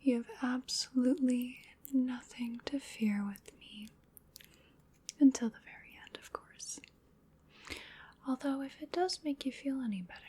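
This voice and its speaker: A woman playing an ancient vampire in an ASMR roleplay, whispering breathily in a slow, genteel, soothing manner with quiet menace. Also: on the high side, slight background noise. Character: ancient female vampire, sinister yet coaxing and polite.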